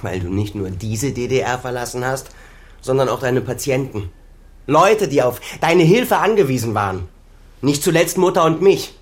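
A man's voice: medium pitch, expressive, normal speed, natural voice. A man acting in a naturalistic radio drama as a bitter adult son who cuts at his estranged father with reproach. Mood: reproachful. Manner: accusing, reproachful, cutting.

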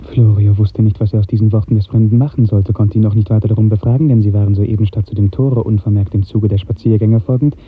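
A man narrating a literary radio drama in a measured, lyrical 1950s storytelling style. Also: lowish voice, fairly even intonation, slightly rough, dry recording. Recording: muffled old 1950s radio recording.

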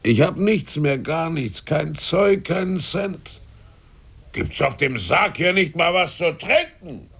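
Shabby whining sailor, radio play, creaky low voice, very animated pitch, slangy, defiant, grasping. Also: male voice, slow, exaggerated voice. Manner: aggrieved, cocky, slangy, swinging from grumble to outburst.

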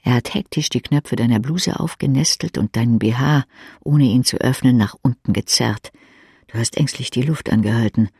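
Male narrator, husky voice, intimate literary reading, very dry close sound. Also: medium pitch, normal speed, a little mellow, dry recording. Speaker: audiobook narrator.

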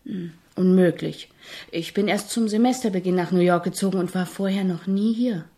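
An actress playing an earnest, persistent young composition student in a German radio drama, questioning politely but insistently. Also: female voice, fairly low pitch, normal speed, warm tone, lightly acted. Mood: polite, curious.

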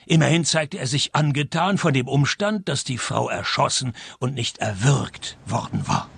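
An actor playing a boastful, sardonic private detective, narrating and talking drily in a comic radio play. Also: male voice, mid-range voice, animated, normal speed, vocal fry, fairly bright tone, breathy edge, no room sound, exaggerated voice. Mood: dry narration. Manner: dry, sardonic. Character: wry, boastful private detective narrating his own cases.

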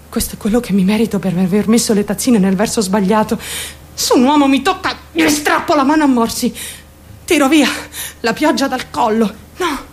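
Actress playing a high-voiced, volatile, obsessive young woman. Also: lightly acted. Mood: dazed, muttering. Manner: raw, volatile, swinging from murmurs to screams. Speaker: actress in a radio monologue. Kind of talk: intense dramatic radio monologue.

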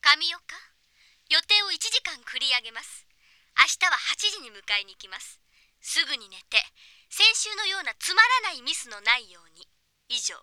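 A woman's voice: high voice, sing-song, moderate pace, background noise. A woman playing a stern, curt female manager leaving orders on an answering machine in an audio drama. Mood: stern, businesslike. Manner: curt, commanding. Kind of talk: Japanese audio drama acting.

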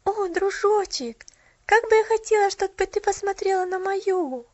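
An eager little girl chatters without pausing for breath, her pleas tumbling out in a rush, as a woman reader puts her on. The voice sits very high and the storybook recording sounds muffled and dull. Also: darkish tone, dry recording. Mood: excited. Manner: breathless, gushing, rapid pleading.

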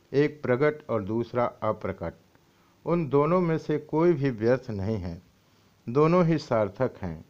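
The recording is dull and lo-fi. A man with a mid-pitched voice reads scripture and its explanation aloud. His delivery is scripted and formal, with deliberate phrasing suited to a religious teacher. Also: darkish tone. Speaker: swami reading and explaining scripture. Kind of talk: scripted scripture reading with commentary. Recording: dull, lo-fi audio.

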